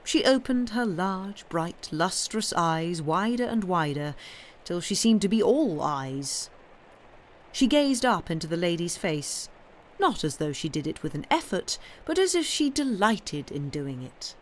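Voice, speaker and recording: A woman narrating a Victorian novel as an audiobook reader, with expressive, measured storytelling and emphatic phrasing. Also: lowish voice, a little hiss or hum. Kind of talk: literary narration of a Victorian novel, reading aloud.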